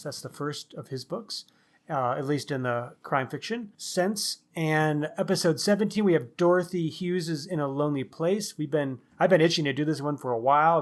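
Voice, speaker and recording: A man co-hosting a book podcast, talking in a casual, chatty style. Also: fairly high pitch. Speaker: podcast co-host.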